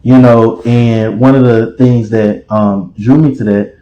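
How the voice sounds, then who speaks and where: Dramatic, expressive man in a roomy space. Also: mid-range voice, a little slow, dull audio, slight background noise. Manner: rambling with many fillers and restarts. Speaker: guest. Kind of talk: casual interview chat. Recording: roomy echo.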